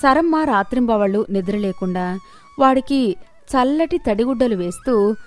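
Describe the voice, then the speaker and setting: A woman's voice: on the high side, animated, normal speed, soft background music. A woman narrating a scripted moral story as a storyteller.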